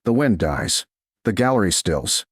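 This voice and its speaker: A low-pitched man narrates a romantic tale in a deliberate, measured manner. His pitch moves expressively through short dramatic phrases. The recording is close and dry, with no room sound. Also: sing-song, slightly rough, no room sound. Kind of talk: scripted narration of a romance story.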